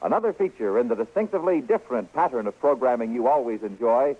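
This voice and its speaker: A male announcer reads in a dramatic old-time radio style. His voice is husky and very animated in pitch. The broadcast recording is thin and tinny. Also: quick pace. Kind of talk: dramatic mid-century scripted radio announcing. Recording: tinny, band-limited vintage radio broadcast.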